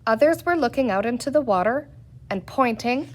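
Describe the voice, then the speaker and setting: A woman reading a children's adventure story aloud in an expressive, scripted narrating style. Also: on the high side, moderate pace. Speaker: audiobook reader.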